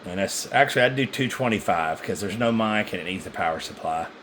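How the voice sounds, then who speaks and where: A man's voice: deep voice, animated, fairly quick, fairly bright tone. A man casually showing off used radio equipment, unscripted, in a hesitant, rambling way and often correcting himself. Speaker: seller demonstrating used radio gear. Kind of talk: casual unscripted product demo. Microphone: handheld phone. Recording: clear background noise on handheld phone.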